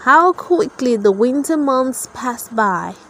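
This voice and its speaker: A woman narrator reads a children's story aloud in a high voice. Her delivery is slow and scripted, with very lively intonation suited to toddlers.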